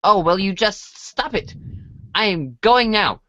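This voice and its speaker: A woman's voice: mid-range voice, lively intonation, unhurried, sharp tone, dry recording. A woman playing an exasperated, melodramatic female character in an amateur comic reading, on a slightly dull recording. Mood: exasperated, dramatic.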